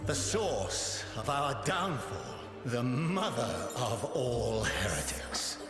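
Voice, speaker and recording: An actor playing a sanctimonious high priest in a video game, preaching theatrically against witches and heretics. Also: very animated. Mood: righteous scorn. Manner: grandiose, sanctimonious, slow and dramatic. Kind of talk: theatrical sermon to a crowd. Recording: cavernous reverberant hall, loud music behind.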